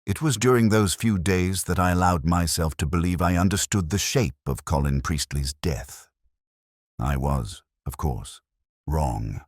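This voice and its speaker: A man voicing a reflective police inspector who narrates his case in the first person, measured and literary. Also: low voice, expressive, no echo, clean studio sound, exaggerated voice. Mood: brooding. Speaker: detective narrator. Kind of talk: scripted first-person radio-drama narration.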